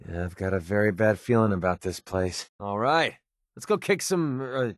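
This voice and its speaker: An actor playing a wisecracking, guarded young mercenary with an American accent and a colloquial, sarcastic manner in a video game. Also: male voice, on the low side, lively intonation, moderate pace, dry recording, clean studio sound, natural voice. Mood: wry, sardonic. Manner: sarcastic, colloquial, hesitant when sincere. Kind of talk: scripted game dialogue.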